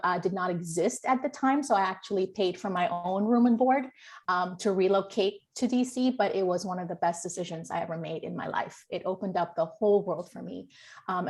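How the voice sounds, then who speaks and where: A woman in her thirties from Guyana, a former scholar now a communications manager, telling her story on a video call with earnest gratitude. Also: mid-range voice. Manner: earnest, grateful, many um fillers. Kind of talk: personal testimony on a video call.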